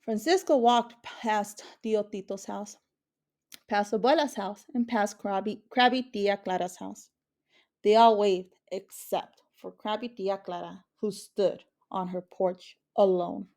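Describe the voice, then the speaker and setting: A woman's voice: mid-range voice, measured pace, darkish tone. A woman reading a children's picture book aloud as a storyteller. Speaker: story reader.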